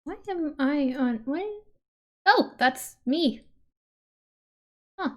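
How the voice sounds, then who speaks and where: A woman hosting a livestream, chatting casually in an expressive, exclamatory way. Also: sits high, extremely slow. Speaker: livestream host.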